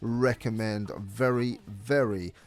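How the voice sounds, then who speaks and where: A man's voice: lowish voice, fairly even intonation, takes it slowly. A man presenting a scripted video game review as narrator.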